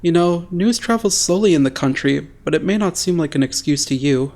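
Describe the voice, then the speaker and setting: A woman's voice: on the low side, animated, a little fast, darkish tone. A woman voicing an earnest, naive, friendly country teenage boy in an anime fandub, frank and polite. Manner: frank, literal-minded, polite. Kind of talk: anime-style fandub voice acting.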